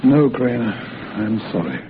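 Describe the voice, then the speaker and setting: A man's voice: on the low side, very animated, noisy background. Fatherly doctor, tinny old radio. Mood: kindly. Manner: avuncular, deliberate, probing. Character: kindly, fatherly head doctor of a rehabilitation hospital. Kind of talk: 1940s radio drama acting.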